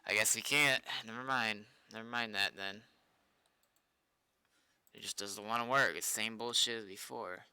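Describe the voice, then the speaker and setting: A man talks casually to his stream while coding at a computer. His voice sits a little low but is strikingly bright, with a clean, clear tone. He is blunt and swears freely. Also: normal speed, pauses often, dry recording. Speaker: streamer, game server developer. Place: indoors at a computer.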